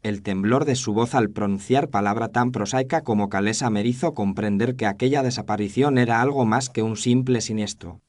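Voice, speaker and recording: A man's voice: lowish voice, normal speed, few pauses, a little mellow, no room sound, studio quality. A man narrating a scripted first-person detective story in a formal, literary storytelling manner.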